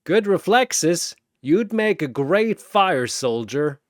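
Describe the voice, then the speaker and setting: Brash young male knight for an audio story, acted by a man: raised pitch, animated, grinning, earnest. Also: slow pace, no echo, clean studio sound. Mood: friendly, earnest.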